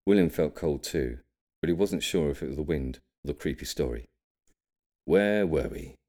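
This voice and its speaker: A male audiobook narrator with an extremely deep voice. He reads scripted fiction as a storyteller, pitched right at the bottom of the range. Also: fairly quick, mellow.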